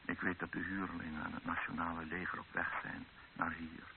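A man's voice: low-pitched, little pitch movement, fairly quick, gravelly. An actor playing a proud, embattled male army captain and town commander, formal and authoritative, in a radio drama. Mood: brooding, indignant. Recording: dull narrow-band old radio recording with hiss.

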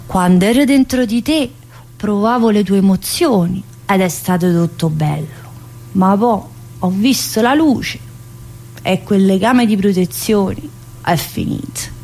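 A woman's voice: mid-range voice, measured pace, darkish tone. An actress playing a chatty, wistful mother in prison, in a colloquial theatrical monologue with a Southern Italian, Neapolitan-tinged accent. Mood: solemn, poetic. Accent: Southern Italian, Neapolitan-influenced. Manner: colloquial, addressing listeners with frequent tag questions.

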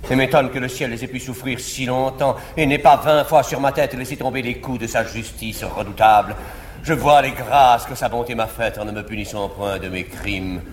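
An actor playing a cold, arrogant nobleman libertine in a measured, declamatory classical French stage style. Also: lowish voice, lightly acted. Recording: reverberant 1950s live theatre recording, faint noise.

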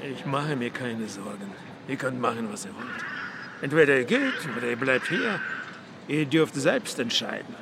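An actor playing a menacing, controlled gang boss who speaks deliberately and threatens coldly in a German radio crime drama. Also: male voice, medium pitch, big pitch swings, gravelly, noisy background. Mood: coldly threatening.

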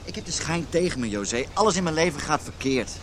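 A man's voice: a little high, expressive, fast, a bit bright, a little hiss or hum. Disillusioned man in his thirties. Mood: bitter, confessional. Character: John, disillusioned unhappily married man of thirty-two, self-pitying. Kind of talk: naturalistic Dutch radio drama acting.

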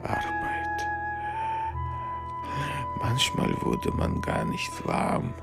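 Ein Schauspieler spielt im Hörspiel einen gezeichneten Lagerüberlebenden, der stockend in Bruchstücken erzählt. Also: male voice, medium pitch, huge pitch swings, gravelly, exaggerated voice. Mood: haunted, remembering. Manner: halting, fragmented phrases. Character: haunted camp survivor recalling hunger, cold and pain. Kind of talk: radio drama monologue. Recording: loud music under the voice.

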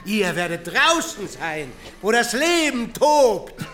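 A pompous, genteel English officer in a radio play. His voice is high for a man, and he declaims slowly in measured, halting phrases. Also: sing-song, pushed and bright, heavily acted. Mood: wistful, genial. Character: pompous English officer addressing captive soldiers, wistful, genteel. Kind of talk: radio drama acting.

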